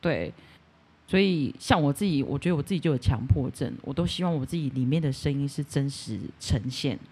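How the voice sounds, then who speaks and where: A woman with a Taiwanese Mandarin accent and a husky voice, talking casually as a podcast guest. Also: a bass voice, expressive, fairly quick, a little mellow. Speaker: podcast guest, ASMR voice performer. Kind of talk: casual chat.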